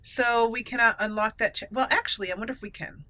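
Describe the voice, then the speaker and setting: A woman's voice: fairly high pitch, fast, bright, forceful tone. A woman giving casual, chatty, playful commentary as she hosts a let's play gaming video.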